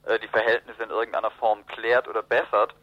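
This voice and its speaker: A man's voice: fairly low pitch, little pitch movement, fairly quick. A man giving analytical, discursive political commentary as a guest in a radio interview. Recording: roomy, muffled radio interview.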